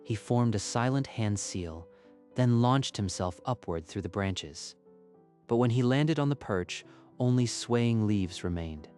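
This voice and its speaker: A man's voice: mid-range voice, lively intonation, a little slow, a little mellow, faint music behind. A man narrating a scripted story in a dramatic style.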